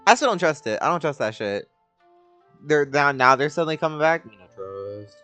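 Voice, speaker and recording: A woman's voice: low-pitched, sing-song, moderate pace, fairly bright tone, soft background music. A woman streaming a game, reacting casually in an informal, muttering way.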